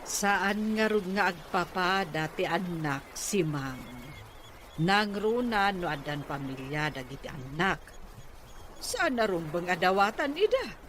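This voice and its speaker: A woman's voice: lowish voice, very animated, slow pace, fairly bright tone, exaggerated voice. A woman with a Filipino accent playing an opinionated village neighbour in a conversational audio drama. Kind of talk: conversational Ilocano radio drama acting. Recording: faint background noise.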